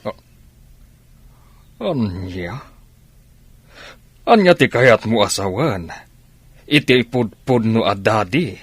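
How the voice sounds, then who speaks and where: A scornful, husky sugar daddy. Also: male voice, lowish voice, sing-song, slow, pauses often, dry recording, exaggerated voice. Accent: Ilocano. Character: hot-tempered, suspicious male sugar daddy. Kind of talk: radio drama acting.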